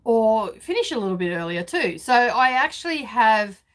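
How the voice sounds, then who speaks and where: A chatty woman, talking to viewers from her sewing studio. Her voice sits a little high, she laughs often, and she fills gaps with easy "um"s and "so, yeah"s. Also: big pitch swings, moderate pace, fairly bright tone. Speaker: sewing vlog host. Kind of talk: casual live chat.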